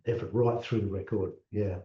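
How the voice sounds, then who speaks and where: A man's voice: fairly low pitch, moderate pace. Distant Australian man in a roomy, dull recording. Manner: relaxed, repeats words when restarting. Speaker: musician, interview guest. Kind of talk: casual interview chat.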